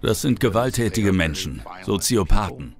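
A man's voice: lowish voice, expressive, normal speed. A man voicing a stern interviewee in a dubbed crime documentary. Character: stern man condemning violent criminals. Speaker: voice-over of an interviewee.

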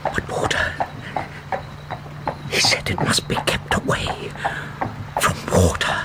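An actor playing a fretful, fastidious Edwardian scholar full of dread in a radio drama, with a British accent and precise, anxious donnish manner. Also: male voice, heavy background noise, natural voice. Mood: dreadful unease.

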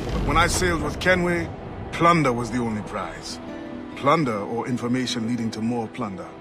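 An actor playing a resolute former pirate captain turned assassin in a video game, with a grave, deliberate, commanding manner. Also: male voice, medium pitch, music in the background, close to an everyday voice. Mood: reflective, firm. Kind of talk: dramatic video game acting.

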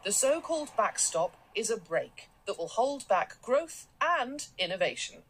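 An actress playing a brisk, self-assured, domineering business boss, commanding and condescending, in a radio drama. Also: female voice, fairly high pitch, very animated, fairly bright tone, slight background noise. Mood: pompous, dictating. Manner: commanding, condescending, jargon-heavy. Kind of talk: naturalistic radio drama acting.

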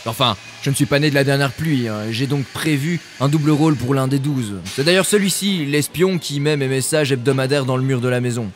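A man's voice: mid-range voice, fairly quick, hardly any pauses, faint music behind, lightly acted. A man playing a self-assured, eccentric tycoon-scientist in a comedic sci-fi radio play, pompous, theatrical and evasive. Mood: boastful.

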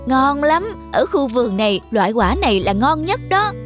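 A high voice brings a sly cartoon farm dog to life. The dog is loyal and clever, wheedling with a show of innocence. Music plays clearly behind it. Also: female voice, big pitch swings, normal speed. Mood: innocent, persuasive. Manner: feigned innocence, coaxing. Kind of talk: children's cartoon fable dialogue. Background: background music.

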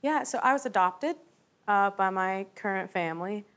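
A woman's voice: mid-range voice, moderate pace, very noisy. A young woman from Maine chatting informally as a contestant in a talent show audition interview. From Maine, United States. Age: young adult. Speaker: singing contestant. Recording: music behind TV audition talk.

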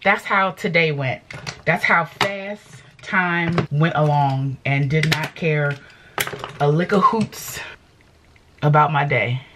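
A woman's voice: low voice, takes it slowly, noisy background. A middle-aged woman vlogger chatting casually and fussing as she gets ready, filmed at close range in a home studio. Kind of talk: casual vlog chat. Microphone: camera at close range.

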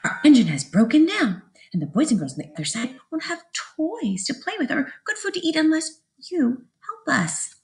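A woman voicing an eager little male toy clown in a children's story, speaking in a pleading, excited way. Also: high voice, normal speed, pitched above the performer's own voice.

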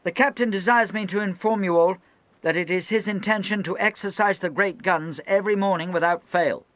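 A man voicing a young, anxious naval lieutenant who barks shrill, clipped orders. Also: fairly quick, a bit bright, dry recording. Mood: shrill commanding.

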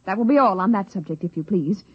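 An actress playing a stern, haughty company inspector with a commanding, formal manner in a 1950s radio drama. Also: female voice, mid-range voice, lively intonation, quick pace, breathy edge, no room sound. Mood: indignant. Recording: dull 1950s radio recording.

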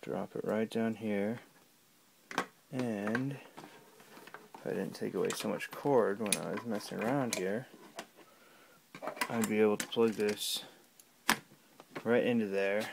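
A man giving a casual, unscripted gadget demonstration at home, talking in a halting, rambling way. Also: lowish voice, steady tone. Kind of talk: casual unscripted product demo. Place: small room at home. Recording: clear background noise with clicks.

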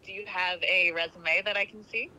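A woman's voice: lowish voice, steady tone, normal speed, pushed and bright. A woman speaking politely and a little hesitantly in a casual phone conversation as a receptionist. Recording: phone call with faint background noise.

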